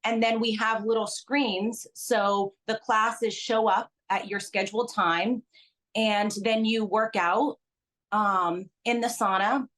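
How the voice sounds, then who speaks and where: A woman born in Belgium talks through her business on a video call. Her voice sits mid-range for a woman, and she explains things in an earnest, steady way. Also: mid-range voice, slow, poor audio. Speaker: business owner guest. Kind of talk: casual virtual interview.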